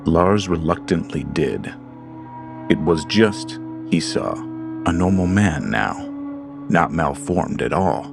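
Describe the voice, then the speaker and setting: A man narrating a horror story in a slow, measured, ominous reading. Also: sits low, lively intonation, creaky. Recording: studio voice-over with creepy background music.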